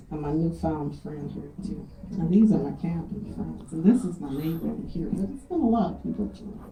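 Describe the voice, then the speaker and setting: A woman with a very dark, mellow voice speaks heartfelt and folksy words to a gathering. Her talk is casual and encouraging, and she sounds slightly low for a woman. The room is echoey, with a faint hum of ambience behind her. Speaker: event host thanking guests. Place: community event with guests.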